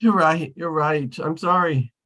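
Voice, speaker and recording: A man's voice: fairly high pitch, a little slow, sharp tone. A man playing a heartbroken divorced man of twenty-six in a sitcom table read, sounding plaintive. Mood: mopey.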